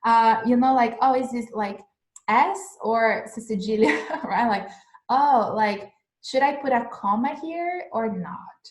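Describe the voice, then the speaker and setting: A woman's voice: a little high, animated, takes it slowly, roomy sound. A Brazilian woman whose first language is Portuguese, teaching English in a casual livestream lesson, often checking in with "right?" and "like". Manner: chatty, frequent "right?" and "like" checks. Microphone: phone livestream.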